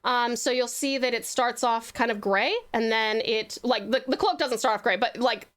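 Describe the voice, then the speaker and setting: High-voiced, effusive woman livestreamer. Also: fairly even intonation, normal speed, a bit bright. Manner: effusive, sweet, gushing with endearments. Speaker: livestreaming virtual streamer host. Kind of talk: casual chatty livestream talk with viewers.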